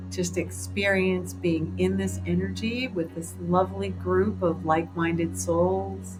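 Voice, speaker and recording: A woman's voice: lowish voice, rough recording. A woman leading a group through a guided meditation in an informal, encouraging, improvised way. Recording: clear background music under the voice. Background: music.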